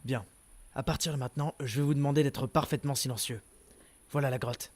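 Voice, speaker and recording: A man's voice: mid-range voice, steady tone, a little fast, a little mellow, faint music behind, natural voice. A man playing a terse, commanding and secretive guide in an amateur audio fiction. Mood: calm, commanding.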